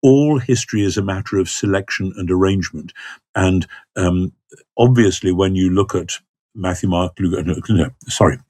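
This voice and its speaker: A man's voice: deep voice, normal speed, darkish tone, slightly rough, no room sound. A man talking about scripture in a thoughtful, hesitant way, with many fillers and restarts. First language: not Hebrew. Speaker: biblical scholar guest. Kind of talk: thoughtful conversational interview.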